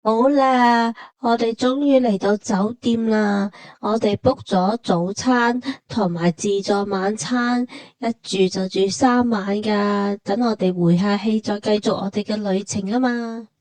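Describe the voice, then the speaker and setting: A woman's voice: mid-range voice, steady tone, unhurried, hardly any pauses, darkish tone, no room sound. A woman with a Hong Kong Cantonese accent narrating a travel vlog conversationally, explaining things like a storyteller.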